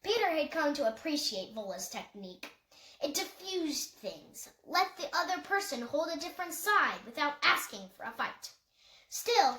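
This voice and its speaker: A woman's voice: sits high, fairly bright tone, echoey room, poor audio. A woman reading a novel aloud as scripted audiobook narration. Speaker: audiobook reader.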